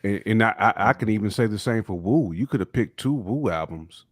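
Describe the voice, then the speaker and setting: Very deep-voiced emphatic podcast co-host. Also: male voice, expressive, fairly quick, dry recording, clean studio sound. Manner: enthusiastic, emphatic praise with repeated words. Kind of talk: casual podcast chat.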